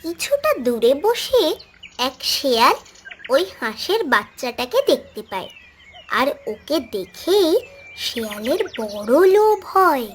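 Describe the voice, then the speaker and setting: A woman's voice: sits high, sing-song, slow pace, fairly bright tone, slight background noise. A woman narrating a scripted cartoon story as a storyteller.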